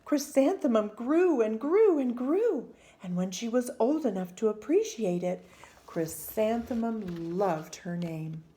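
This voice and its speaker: A woman reading a children's storybook aloud with expressive, deliberate phrasing. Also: a little high.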